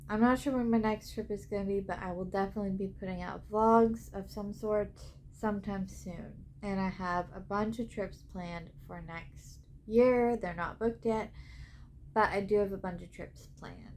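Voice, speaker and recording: A woman travel vlogger talking casually to camera with a head cold and a scratchy throat, in a chatty way full of ums. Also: mid-range voice. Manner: slow, relaxed, chatty with filler ums. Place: cruise ship cabin.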